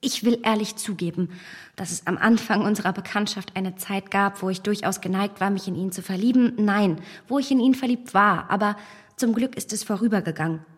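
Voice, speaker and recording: An actress playing a self-possessed, impatient young gentlewoman in a period radio drama, polite, articulate and insistent. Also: mid-range voice, fairly quick, big-room sound, close to an everyday voice. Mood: earnest, composed.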